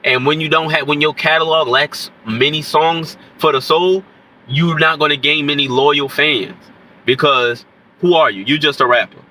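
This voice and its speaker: A man's voice: on the high side, steady tone, normal speed, sharp tone, low-quality recording. A man, sick and congested with a nasal voice, rambling casually to camera as an independent rapper. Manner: rambling, keeps saying "you know what I'm saying". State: sick with stuffy nose and scratchy throat. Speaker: independent rapper commenting on hip-hop. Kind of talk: casual unscripted commentary to camera.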